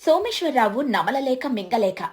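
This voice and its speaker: High-pitched woman storyteller. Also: sing-song, moderate pace. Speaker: narrator. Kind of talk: scripted moral story narration.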